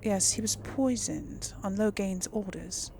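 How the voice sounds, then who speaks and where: A woman doing casual, chatty video game commentary with a creaky edge to her voice. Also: mid-range voice, a little slow, mellow, slight background noise. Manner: chatty, talks to the audience. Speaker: gaming channel host playing through a role-playing game. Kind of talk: casual gameplay commentary with in-character dialogue read-alongs.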